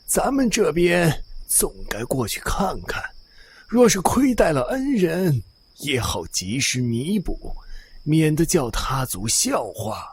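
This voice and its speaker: A deferential royal retainer played by a man in an audio drama, earnest and formal. The voice is high for a man, very breathy and husky, and unhurried, with music behind. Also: big pitch swings, exaggerated voice. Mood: respectful, urging. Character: deferential, earnest male retainer advising his king. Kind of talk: Chinese fan audio drama acting.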